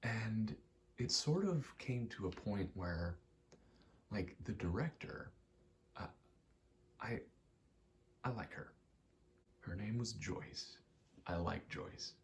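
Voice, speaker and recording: A young man giving a soft-spoken, halting, reflective ASMR ramble, telling personal stories in a hushed voice close to the mic. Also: fairly low pitch, expressive, a little hiss or hum. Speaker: ASMR creator.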